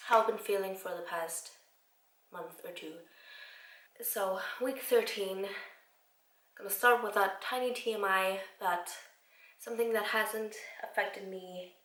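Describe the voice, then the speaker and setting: Young woman from Iceland, twenties, casual vlog chatter, slight rasp from a cold, mid pitch, roomy sound. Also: a bit bright. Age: 20s. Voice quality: slightly raspy. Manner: chatty, many fillers and "like". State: recovering from a cold. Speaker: vlogger. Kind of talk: casual chat to camera. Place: home room.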